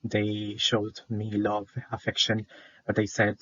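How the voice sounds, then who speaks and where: Shy young salvadoran guy presenting for class, flat voice, lots of uhs, dull dry recording. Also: fairly low pitch, takes it slowly, no echo. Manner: hesitant, many fillers, shy. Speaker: student presenter.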